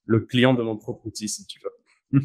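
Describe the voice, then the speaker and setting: A man with an Argentine accent, talking casually as an interview guest, relaxed and slangy, with frequent fillers and restarts. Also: medium pitch, a little slow, vocal fry, no room sound, clean studio sound. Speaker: guest, company founder.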